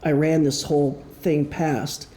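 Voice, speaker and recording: A man from Wisconsin with a Midwestern American accent giving an explanatory public talk as an author, with deliberate pauses. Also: on the high side, little pitch movement, dark tone. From Wisconsin, United States. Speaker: author presenting his novel. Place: indoor presentation room. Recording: echoey room.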